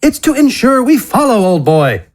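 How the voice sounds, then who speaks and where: A man voicing an urbane, sardonic older gentleman with a theatrical, condescending manner in a fantasy audio story. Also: very high-pitched, very animated, moderate pace, voice pushed up. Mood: smug. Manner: theatrical, condescending, says "old boy".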